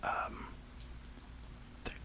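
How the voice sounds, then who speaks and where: A reviewer whispering an enthusiastic, rambling ASMR film review in a breathy, unvoiced voice. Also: extremely slow. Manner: enthusiastic, rambling, emphatic repetition.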